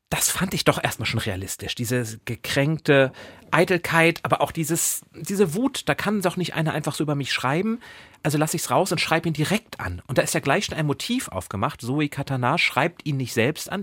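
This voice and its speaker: A man's voice: fairly high pitch, lively intonation, normal speed, fairly bright tone, breathy edge, no echo. A man hosting a book podcast, discussing in a conversational, reflective way and explaining his points step by step. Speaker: podcast host discussing books.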